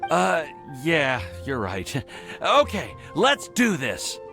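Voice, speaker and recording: An earnest young male alchemist, lovestruck and a bit nervous, speaking formally in a lightly high, expressive voice. Music plays under this animated game performance. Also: takes it slowly, gravelly, full character voice. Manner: wordy, formal, earnest declarations. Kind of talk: animated video game voice acting.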